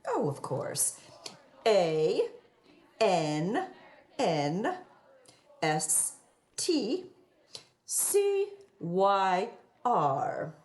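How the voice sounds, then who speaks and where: A woman's voice: mid-range voice, sing-song, drawn-out words, long pauses, a little mellow. A woman playing a scheming, prim widow in a radio play, with a florid, sanctimonious and affected delivery. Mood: haughty, prim. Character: scheming widow posing as prim, melodramatic moral crusader. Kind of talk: theatrical radio play acting.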